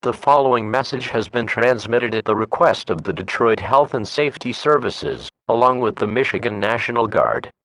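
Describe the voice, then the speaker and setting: A man's synthetic voice with a dry, close sound and a middling pitch delivers a formal emergency broadcast announcement. The reading is measured and flat, scripted from start to finish. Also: no room sound, poor audio.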